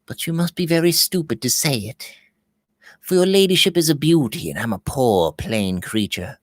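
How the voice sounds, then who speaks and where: A man acts out a deferential young maid from a Victorian storybook. She speaks humbly and quietly, with a lilting rise and fall. The recording is dry. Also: on the high side, lively intonation, moderate pace, no echo, natural voice. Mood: humble. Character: meek, plain young lady's maid, deferential. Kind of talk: Victorian novel read aloud.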